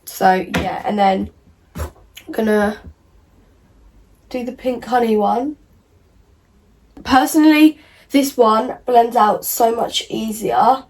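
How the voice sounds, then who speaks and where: A young woman, croaky from a cold, chatting casually through a makeup tutorial with lots of "like" and "um". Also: fairly high pitch, slow, pauses often, darkish tone. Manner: chatty, rambling, many fillers like "like" and "um". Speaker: beauty vlogger. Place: at home in front of a mirror.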